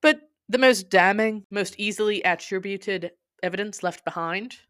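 Podcast narrator: theatrical, sardonic, wordy, mid-pitched voice, smooth and clear, recorded close and dry. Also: moderate pace, a bit bright, no echo. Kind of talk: dramatic scripted storytelling, reading a blog.

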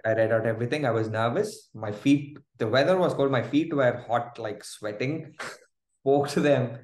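A man telling a lively personal story as a guest in a casual interview, often laughing as he speaks. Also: medium pitch, moderate pace. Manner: animated, laughing, restarts while telling a story. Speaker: guest, groom-to-be. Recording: roomy echo.

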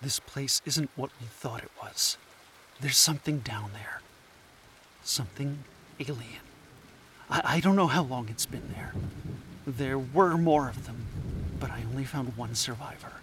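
An unsettled, earnest scientist played by a man in an audio drama. His voice sits at a medium pitch, with rain and storm noise clearly behind it. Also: measured pace, long pauses, a bit bright, natural voice. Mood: haunted, grave. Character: troubled, scatterbrained male anthropologist. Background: rain and thunder.

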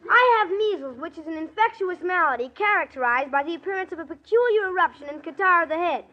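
Lady doing a know-it-all kid boy, really high deadpan voice, muffled old radio sound. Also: fast talker. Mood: pedantic, exasperated. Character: precocious, matter-of-fact young neighbour boy. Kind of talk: 1940s radio comedy acting. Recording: dull old radio recording.

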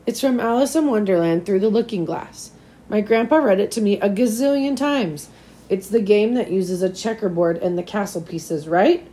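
A slightly hoarse woman voicing an unsure, self-doubting young schoolgirl from a children's storybook, with hesitant replies. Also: medium pitch, moderate pace, mellow, lightly acted. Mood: happier.

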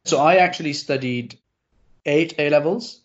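A young man whose first language is Urdu, hosting a casual interview chat and talking in a quick, self-deprecating way with lots of asides. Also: on the high side. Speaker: podcast host. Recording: slightly dull lo-fi audio.

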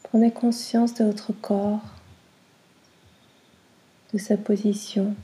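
A woman guiding a relaxation meditation in a soft, breathy, soothing way, in short phrases with long pauses. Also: medium pitch, little pitch movement. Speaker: yoga nidra guide.

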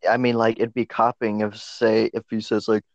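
Male podcast co-host, goofy and crude, deadpan fake sponsor reads, dry muffled mic. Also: fairly low pitch, normal speed, no echo. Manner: joking, crude, mock ad reads. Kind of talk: casual chat. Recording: dry, dull lo-fi audio.

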